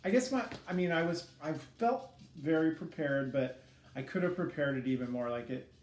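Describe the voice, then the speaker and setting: A man's voice: a little high, darkish tone. Middle-aged male interviewee, hesitant and reflective, casual delivery; echoey room, faint background noise. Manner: hesitant, halting, self-critical. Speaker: student teacher being interviewed.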